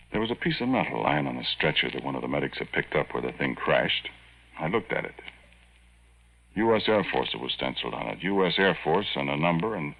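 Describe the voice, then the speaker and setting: A deep, muffled voice from a 1950s radio drama. a curious, star-struck army sergeant who talks in plain, folksy language. His pitch moves freely as he narrates and chats. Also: heavily acted. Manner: folksy, colloquial storytelling. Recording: muffled old radio recording.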